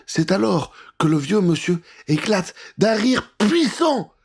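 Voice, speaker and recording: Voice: mid-range voice, big pitch swings, takes it slowly, a little mellow, slightly rough. A storyteller reading a scripted children's adventure in a theatrical, suspenseful way.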